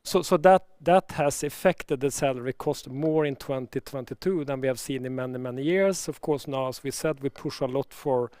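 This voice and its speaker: A man speaking as a company executive, answering analysts in a measured, halting way and often repeating words. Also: mid-range voice, darkish tone, clear, resonant tone, dry recording. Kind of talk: earnings call answers.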